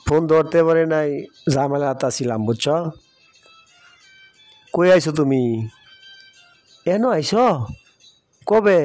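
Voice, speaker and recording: A man's voice: fairly high pitch, a little mellow, no room sound. A man playing an evasive young village lover in a cartoon, coaxing and placating. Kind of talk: cartoon voice acting. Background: music.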